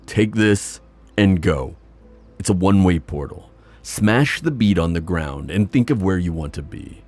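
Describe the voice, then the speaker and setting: A man playing a proud, protective sea god and father in a fantasy ASMR roleplay, musing haltingly with sighs. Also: sits low, lively intonation, darkish tone, soft background music. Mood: sober, reflective.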